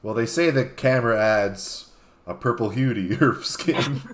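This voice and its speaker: A man's voice: mid-range voice, measured pace, slight background noise. A man co-hosting a show, talking casually. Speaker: show co-host running the videos. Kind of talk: casual chat. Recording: echoey room sound.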